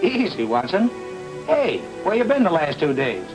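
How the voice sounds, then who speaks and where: A cocky small-time informant from radio noir. His voice sits on the high side and moves in lively swoops, slangy and pushy. Music runs behind the old radio recording. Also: normal speed, poor audio. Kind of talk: film noir radio drama acting.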